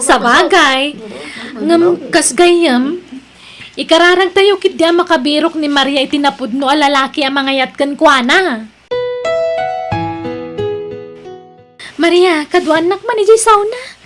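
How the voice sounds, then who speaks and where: A woman's voice: sits high, unhurried. A woman playing a chatty, devout and caring friend in an Ilocano radio drama. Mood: friendly.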